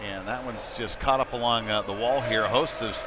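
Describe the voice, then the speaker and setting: A man doing live, conversational play-by-play commentary of youth hockey, excitable on big plays. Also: on the low side, moderate pace. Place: indoor ice rink, live broadcast. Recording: clear background noise of sports arena.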